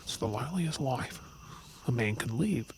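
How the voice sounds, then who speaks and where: Guy acting haunted werewolf man, earnest, brooding, pitch moves a lot, crickets n faint noise behind. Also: lively intonation, normal speed, natural voice. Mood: anguished. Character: tormented male werewolf, earnest, weary of hiding. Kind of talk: supernatural ASMR audio drama acting. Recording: faint noise with chirping night insects.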